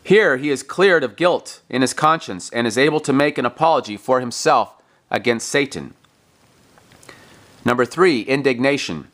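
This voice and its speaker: A man reading a religious text aloud like a preacher, in deliberate, emphatic, declamatory phrasing. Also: medium pitch, a bit bright. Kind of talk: scripted devotional reading, sermon-like.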